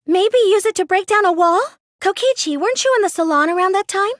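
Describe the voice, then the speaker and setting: A woman's voice: extremely high pitch, brisk, dry recording, clean studio sound. A woman playing a timid, earnest, nerdy teenage girl in an English video game dub, hesitant and prone to stammering when flustered. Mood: thoughtful, questioning.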